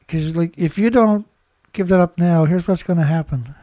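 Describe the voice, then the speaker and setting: A man's voice: a little high, dark tone, dry recording. A man hosting a solo news commentary, stuffed up, rambling and indignant, full of rhetorical questions. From Canada. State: stuffed-up nose. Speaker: host.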